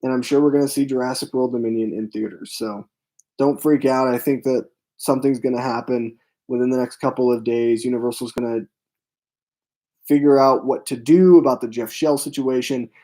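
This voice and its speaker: A man with a hoarse, fading voice hosts a casual live stream from a room at home, rambling with lots of fillers as he answers his chat. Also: medium pitch, steady tone, a little fast, dark tone. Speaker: film channel host.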